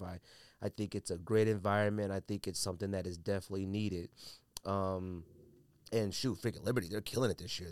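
A man's voice: deep voice, no room sound. Man thinking aloud on a dry podcast mic. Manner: hedging, self-qualifying, thinking aloud. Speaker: sneaker podcast host. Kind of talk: casual solo podcast monologue.

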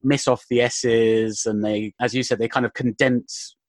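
A man's voice: medium pitch, level tone, measured pace, no room sound. A man hosting an interview podcast, asking curious questions in a casual, eager way with many fillers. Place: based in Madrid.

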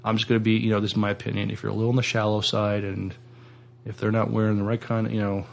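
A man with a fairly low voice and plenty of vocal fry chats as a podcast co-host. He is hesitant and feels his way through each point, often rewording it. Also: monotone, fast talker. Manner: hesitant, self-correcting. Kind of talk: casual chat discussion.

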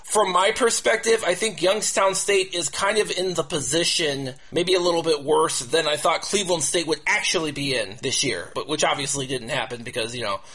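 A man's voice: a little high, bright, forceful tone, slightly rough. A man hosting a casual sports talk show, repeating himself and restarting phrases as he goes. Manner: halting, repetitive, restarts phrases over and over. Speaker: podcast host.

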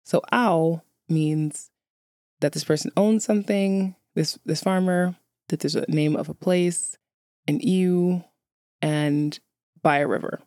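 A woman's voice: lowish voice, fairly even intonation, slow pace, a little mellow, no room sound, clean studio sound. A woman who is a native English speaker, chatting casually to viewers as a vlogger in a wry, candid, self-deprecating way.

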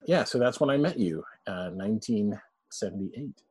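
A man's voice: lowish voice, animated, normal speed, a little mellow, slightly rough, no echo. A man in his forties, a guest telling family stories in a chatty, laughing way. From born in Canada, raised abroad. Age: 40s. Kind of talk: casual storytelling interview.

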